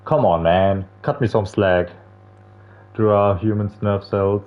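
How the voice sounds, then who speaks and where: Deep-voiced young man, thin phone-like sound. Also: steady tone, takes it slowly. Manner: irreverent, slangy, swearing. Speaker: streamer playing a visual novel. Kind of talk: reading game text aloud with casual joking commentary. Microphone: streamer microphone with face cam. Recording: narrow phone-like audio with music behind. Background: game music.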